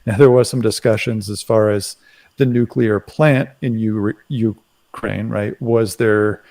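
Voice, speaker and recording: A man in a casual current affairs discussion, speaking haltingly and often tagging his phrases with "right". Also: on the low side, steady tone, darkish tone. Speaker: commentator on a live talk show.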